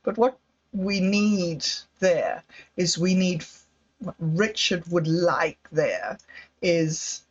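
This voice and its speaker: A woman, hosting a livestream and musing in a hesitant, self-doubting way about her projects. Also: lowish voice. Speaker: livestream host. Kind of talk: casual livestream monologue. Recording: slightly dull lo-fi audio.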